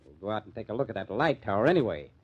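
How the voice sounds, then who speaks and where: An actor playing a confident, wisecracking American police lieutenant in hard-boiled old-time radio crime drama. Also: male voice, lowish voice, expressive, racing, darkish tone, husky. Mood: sardonic, explaining. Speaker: police detective. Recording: dull vintage radio recording.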